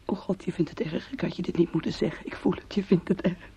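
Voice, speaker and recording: A woman's voice: lowish voice, steady tone, fast, mellow, husky. An actress in a radio play, playing an anguished young woman who speaks in an intimate, imploring way of love and fear. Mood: fearful pleading. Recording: dull old radio play recording.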